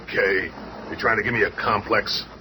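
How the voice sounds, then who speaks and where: An actor playing a gruff, quick-tempered monster of a superhero with gravelly, slangy bluster in a 1970s radio drama. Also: male voice, on the low side, fairly even intonation, close to an everyday voice. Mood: grumbling. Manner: blustering, slangy tough guy. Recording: old radio recording with noise.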